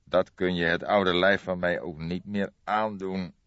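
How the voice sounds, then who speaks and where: A man playing a calm, weary old police detective with measured speech in audiobook dialogue. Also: sits low. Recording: dull lo-fi, boxy roomy sound.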